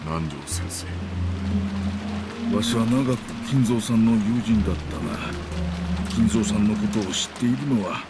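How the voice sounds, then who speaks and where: An actor voicing a subdued, reflective elderly gentleman in a video game. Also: fairly low pitch, fast, long pauses, loud music behind the voice, natural voice. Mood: subdued, wistful. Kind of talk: Japanese game voice acting.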